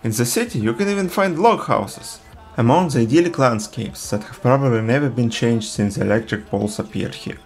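A man from Russia, narrating a travel film in an earnest, measured voice-over. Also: medium pitch, animated, creaky. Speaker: travel vlogger.

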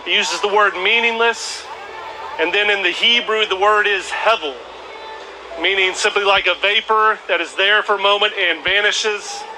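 Man in his thirties, fairly high voice, teacherly street preacher, clear traffic noise behind. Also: steady tone, moderate pace. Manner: explanatory, teacherly. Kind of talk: open-air Bible teaching. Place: outdoor plaza by historic buildings. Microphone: handheld microphone through a speaker.

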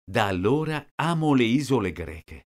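A man slowly reading out short sentences, each one twice, for a language lesson. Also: mid-range voice, big pitch swings. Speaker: language teacher. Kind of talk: slow repeated phrase reading.

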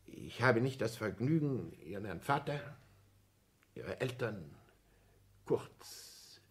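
An actor in a 1960s German radio play, playing a condescending aristocratic count with ornate, formal speech. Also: male voice, fairly low pitch, big pitch swings, slow, exaggerated voice. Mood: pompous, instructive. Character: courtly aristocratic count and father, condescending, old-school.

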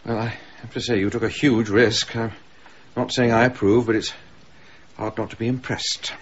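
A man playing a mild older English ex-army gentleman in a radio drama, polite, hesitant and drily understated. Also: medium pitch, little pitch movement, moderate pace, muffled recording, a little hiss or hum, close to an everyday voice.

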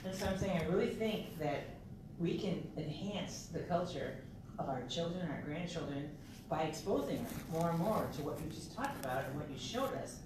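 An older grandmother in an audience, talking loudly and emphatically with frequent restarts, recorded from far off in a hall. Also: sits low, lively intonation, moderate pace, background noise. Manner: loud, emphatic, restarts often. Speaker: audience member. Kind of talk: casual group discussion. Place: church parish hall.

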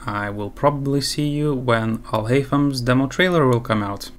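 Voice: medium pitch, normal speed. A gaming video host giving casual reaction commentary.

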